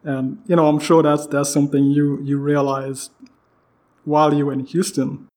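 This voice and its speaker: The host of a laid-back interview podcast, a man with a lightly raised pitch, chatting informally with his guest. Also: level tone, moderate pace, mellow. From Trinidad. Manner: informal, peppered with "you know". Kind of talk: casual conversational interview.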